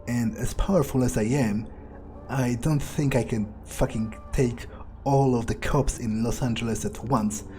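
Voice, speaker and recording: A man's voice: medium pitch, fairly even intonation, a little slow, mellow, a little hiss or hum. A man doing an in-character game playthrough, musing aloud with plenty of swearing and hesitant phrasing. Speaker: gamer playing in character. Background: game music.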